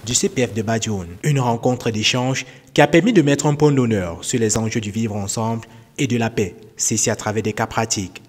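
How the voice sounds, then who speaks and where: Low-voiced male news reporter, reverberant. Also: fairly quick, husky. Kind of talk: scripted TV news narration.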